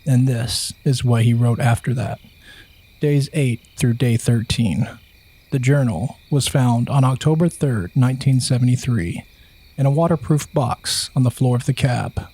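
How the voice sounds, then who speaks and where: A man narrates a horror story from a script. His mid-pitched voice is heavily husky and breathy, and he keeps a measured, solemn delivery throughout. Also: warm tone, low-quality recording.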